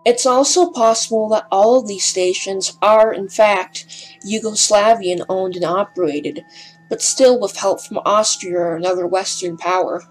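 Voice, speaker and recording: Woman narrator, mid-pitched, a bit hesitant and breathless, scripted voice-over over faint music. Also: unhurried, rough recording. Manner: slightly hesitant, breathless delivery. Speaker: documentary narrator.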